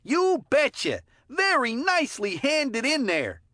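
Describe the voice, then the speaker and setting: High-pitched, excitable kid hockey commentator. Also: female voice, slow pace, no echo, clean studio sound, exaggerated voice. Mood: excited, admiring. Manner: chirpy, folksy, giggly. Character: excitable, clumsy, good-natured child hockey commentator. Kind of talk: cartoon video game sports commentary.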